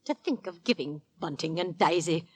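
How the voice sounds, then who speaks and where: A woman's voice: on the low side, animated, moderate pace, slightly rough. Prim London mother. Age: middle-aged. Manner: reproving. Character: prim, easily shocked working-class London mother and landlady. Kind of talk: 1940s radio acting. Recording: vintage 1940s radio recording.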